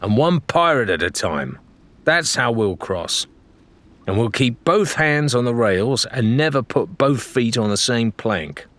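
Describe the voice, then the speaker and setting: A practical woman pirate leader, voiced by a male reader, gives orders firmly. The pitch is lifted and mobile, the manner brisk and reassuring, as in an adventure storybook. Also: lively intonation, fairly bright tone. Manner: firm, brisk, commanding.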